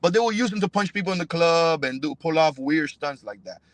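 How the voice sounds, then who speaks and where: Young man, high-pitched voice, dry close sound, profane street slang, emphatic casual interview talk, from Cuba. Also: expressive, fairly quick, no room sound. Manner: emphatic, slangy, punctuated with "bro" and "you know what I'm saying". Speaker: professional fighter, podcast guest.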